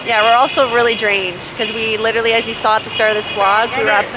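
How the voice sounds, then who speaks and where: A woman's voice: fairly high pitch, level tone, fast talker, heavy background noise. Upbeat Canadian woman vlogging outdoors. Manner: bubbly, upbeat, laughs often. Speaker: travel vlogger. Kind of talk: casual vlog chat. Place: outdoors and on the go in a busy city.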